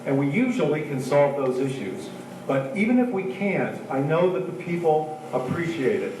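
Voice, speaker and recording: A man's voice: a little high, lively intonation, normal speed, noisy background. An older man, a retired choir director and council member, giving an earnest, orderly candidate speech. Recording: echoey hall from far off.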